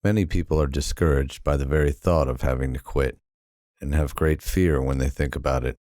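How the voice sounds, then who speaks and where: Super deep voiced guy doing sleep meditation, soothing and slow to move on, close dry sound. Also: dry recording. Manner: calm, soothing, unhurried with long pauses. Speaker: hypnosis and meditation guide. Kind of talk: guided sleep hypnosis narration.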